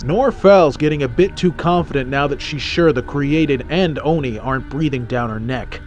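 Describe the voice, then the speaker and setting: A man playing a brisk, professional special-forces soldier in a sci-fi military audio drama. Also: medium pitch, natural voice. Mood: focused, businesslike. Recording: loud music bed behind voice.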